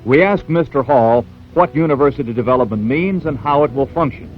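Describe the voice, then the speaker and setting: A man's voice: medium pitch, fairly quick, soft background music. Male American newsreel narrator, dull vintage sound. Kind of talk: scripted narration.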